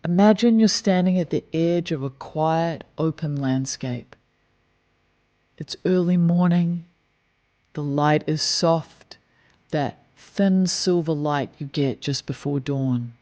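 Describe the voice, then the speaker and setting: A man leading a guided meditation in a slow, soft, soothing manner, gently counting breaths. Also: fairly high pitch, no echo, highs cut off.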